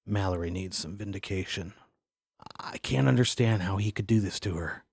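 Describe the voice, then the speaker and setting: A man playing a gruff, world-weary and cynical police inspector in an audio drama. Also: low voice, normal speed, darkish tone, no echo, studio quality, heavily acted.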